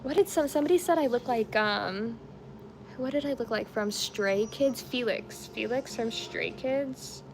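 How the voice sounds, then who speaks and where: A woman's voice: on the high side, unhurried, noisy background. A woman around thirty chatting casually on a home crochet stream, rambling and laughing easily as she reads comments. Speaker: crochet livestream host. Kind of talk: casual chat while crocheting, reading comments.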